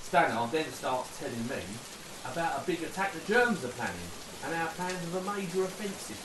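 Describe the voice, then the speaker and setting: A man's voice: on the high side, lively intonation, fairly quick, lightly acted. An actor playing a weary, sceptical soldier in a wartime radio play, who is devoted to his sweetheart. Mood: wry, weary. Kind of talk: period radio drama acting. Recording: roomy, distant, noisy with rain-like hiss.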